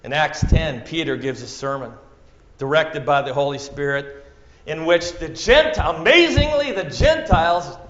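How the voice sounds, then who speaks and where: Minnesota guy preaching, goes loud then whispery, roomy dull sound. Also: fairly high pitch, animated, measured pace. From central Minnesota. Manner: dramatic, swings from loud to hushed, acts out quotes. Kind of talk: sermon. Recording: echoey room, muffled sound.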